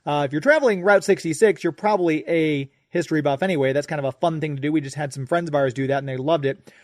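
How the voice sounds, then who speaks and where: A man's voice: fairly high pitch, quick pace, no echo, studio quality. An American man hosting a radio show in a chatty, informal, conversational way. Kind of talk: conversational ad read and interview.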